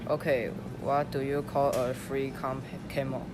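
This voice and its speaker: A man's voice: mid-range voice, flat delivery, a little slow, lightly acted. A man playing a sly, teasing, easygoing animal hustler in an amateur radio play. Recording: very noisy amateur room recording.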